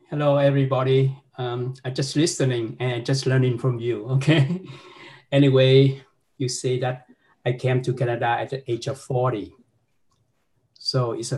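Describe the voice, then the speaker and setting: A man's voice: mid-range voice, a little mellow. A man in his fifties with a Vietnamese accent, a guest instructor talking frankly on a video call from a small home office, with chuckles and tag questions. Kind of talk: casual video call chat. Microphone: laptop webcam mic on video call.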